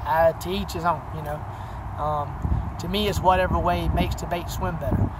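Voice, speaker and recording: A man talks casually into a close camera outdoors, showing fishing tricks. He rambles and fills gaps with "you know", his voice sitting a little high. Clear outdoor noise runs under the recording. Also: fairly even intonation, normal speed. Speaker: fishing channel host. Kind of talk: casual how-to demonstration. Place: outdoors by a pine wood. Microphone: camera close at arm's length. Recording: noisy outdoor camera audio.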